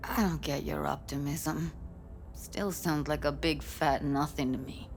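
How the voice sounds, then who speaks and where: A woman's voice: low voice, steady tone, a little slow, vocal fry, faint music behind. An actress playing an angry, foul-mouthed streetwise woman in a video game, blunt and scornful, in a reverberant space. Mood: bitter, despairing. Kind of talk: dramatic video game acting.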